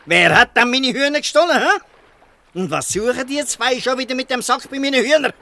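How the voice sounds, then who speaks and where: A man playing a gruff, shrewd old fisherman who scolds in Swiss German in a radio play. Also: very high-pitched, animated, brisk, sharp tone, heavily acted. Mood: disappointed, reproachful. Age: older. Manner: blustering, ends taunts with a questioning "hä". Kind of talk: dialect radio play acting.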